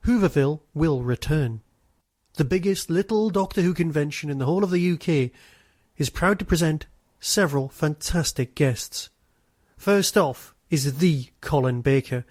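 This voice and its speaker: A man speaking as a podcast host. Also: fairly high pitch. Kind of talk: casual interview chat and scripted announcements.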